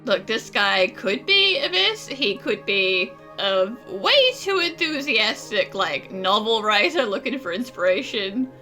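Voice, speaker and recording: Female game streamer: playful sardonic commentary, unhurried yet lively, bright tone. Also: medium pitch, faint music behind. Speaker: gaming streamer. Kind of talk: casual, joking playthrough commentary.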